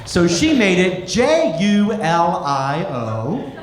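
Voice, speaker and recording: A middle-aged American man doing live stand-up comedy, telling stories with deliberate pauses and acted-out quotes. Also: high-pitched, very animated, over music. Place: indoor comedy club stage. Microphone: stage microphone. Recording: roomy echoing club, some noise.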